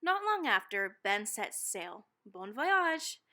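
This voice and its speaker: A woman reading a children's picture book aloud expressively, with dramatic, deliberate emphasis on key phrases. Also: mid-range voice, big pitch swings, pushed and bright. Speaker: read-aloud narrator.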